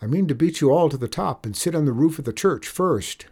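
A man's voice: a little high, lively intonation, a little fast, darkish tone, slightly rough, voice pushed up. A man voicing a cocky small boy from a Western story, bold and challenging in a folksy way. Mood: eager, confident. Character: plucky, cocky small boy in the Old West.

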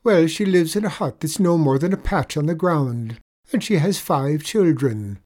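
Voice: mid-range voice, lively intonation, normal speed, darkish tone, voice pushed up, close to an everyday voice. A performer voicing a wily little Irish leprechaun man in a storybook tale, coaxing with a mournful lilt. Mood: mournful, insistent. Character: wily little leprechaun man spinning pitiful sob stories.

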